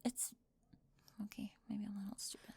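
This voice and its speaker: A woman playing a flustered, melodramatic high school mean girl with a secret crush, stammering defensively in an ASMR audio roleplay. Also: fairly even intonation, normal speed, vocal fry, dark tone, breathy edge, no echo. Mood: flustered confession.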